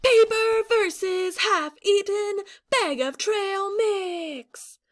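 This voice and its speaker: A woman teacher reads a children's picture book aloud in a high voice. Her pace is slow and drawn out, and her delivery is dramatic and expressive. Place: small indoor classroom or office.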